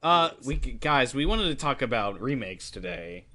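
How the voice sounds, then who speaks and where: Man, podcast co-host, casual gaming chat, jokey, rambling, sharp bright tone. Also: mid-range voice, big pitch swings, moderate pace.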